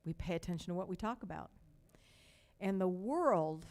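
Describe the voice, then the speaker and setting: A woman's voice: fairly low pitch, animated. Older woman pastor with a light Texas accent. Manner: slow, emphatic repetition with warm storytelling asides. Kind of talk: sermon.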